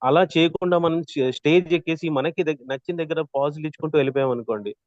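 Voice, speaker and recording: A man teaching an interactive online class as a trainer, explaining with examples and often checking in with a quick "right?". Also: on the high side, no echo, highs cut off. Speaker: voice modulation trainer.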